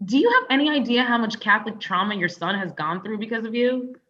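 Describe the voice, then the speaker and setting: Woman as a bright, blunt, sardonic girlfriend. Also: on the high side, sing-song, fast, highs cut off. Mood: exasperated. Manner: sardonic, challenging. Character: blunt, exasperated live-in girlfriend. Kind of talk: comedic script table read.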